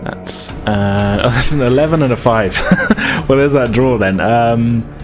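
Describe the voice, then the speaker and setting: A man's voice: mid-range voice, a little slow, over music. A man acting as game master, explaining and narrating a tabletop game in a casual, hesitant way.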